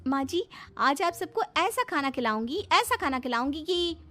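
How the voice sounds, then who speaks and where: A woman's voice: high voice, very animated, moderate pace, a bit bright, pitched above the performer's own voice. A woman voicing a boastful, easily flustered young city-bred daughter-in-law in a cartoon story, with a showy, whining manner. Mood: boastful, excited. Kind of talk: Hindi cartoon story character acting.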